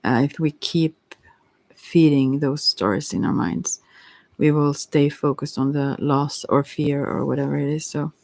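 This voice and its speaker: Halting, creaky-voiced tarot reader, very close and dry. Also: medium pitch, steady tone, darkish tone, no room sound. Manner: hesitant, halting, apologetic, with frequent self-interruptions. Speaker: tarot card reader, ASMR host. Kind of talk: rambling ASMR tarot reading.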